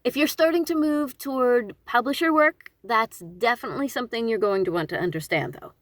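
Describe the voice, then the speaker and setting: A woman audiobook narrator hosting her own channel, giving informal instructional talk in an expressive, conversational and emphatic way, with self-deprecating asides. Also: a little high, brisk, no echo.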